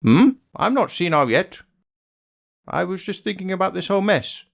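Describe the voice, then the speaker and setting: A man's voice: high voice, expressive, moderate pace, gravelly. An actor playing a troubled, blustery old attorney in a video game, with an old-fashioned, grandfatherly way of talking. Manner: blustering, old-fashioned, stammering when flustered. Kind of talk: dramatic video game character acting.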